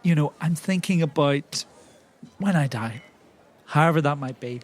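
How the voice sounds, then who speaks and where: High-pitched man improvising roleplay. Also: moderate pace. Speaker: tabletop roleplay podcast player. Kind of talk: improvised in-character roleplay chat.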